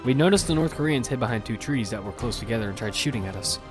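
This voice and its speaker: A man's voice: mid-range voice, lively intonation, fast talker, over music. A man narrating a first-person action drama as its protagonist, in an earnest storytelling manner.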